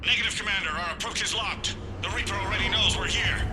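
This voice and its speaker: An actor playing a disciplined alien military pilot in a video game, making terse, formal radio calls. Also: male voice, sits high, quick pace, natural voice. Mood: urgent, formal. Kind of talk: radio call. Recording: loud music and vehicle noise behind.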